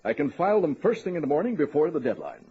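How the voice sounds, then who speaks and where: An actor playing a wry, self-pitying man of about thirty in a radio comedy-drama, with a husky voice and hesitant, sardonic delivery. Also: fairly high pitch, wildly swooping pitch, quick pace, heavily acted. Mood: wary. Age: 30s. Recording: dull lo-fi old radio recording.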